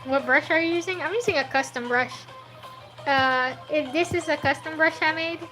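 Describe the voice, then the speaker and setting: A woman's voice: high voice, moderate pace, bright, forceful tone, soft background music, low-quality recording. A woman, not a native English speaker, chatting casually on a livestream while she draws and answers chat, laughing often. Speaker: streaming artist.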